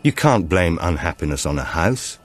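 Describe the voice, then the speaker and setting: A man's voice: low-pitched, animated, moderate pace. A man voicing a troubled, apologetic father, earnest and uneasy, in an audiobook thriller.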